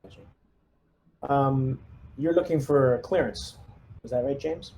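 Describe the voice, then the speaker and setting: Tentative mellow-voiced male gamer. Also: mid-range voice, slow, low-quality recording. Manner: tentative, thinks out loud. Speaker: tabletop game player. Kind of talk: casual, hesitant in-game questioning. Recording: faint background noise, ambience.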